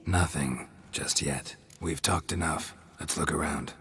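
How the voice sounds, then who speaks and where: An actor playing a gruff, laconic monster hunter in a fantasy video game, gravelly and deadpan, speaking in clipped, understated phrases. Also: male voice, a bass voice, measured pace, heavily acted. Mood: terse, pondering. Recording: game music behind the voice.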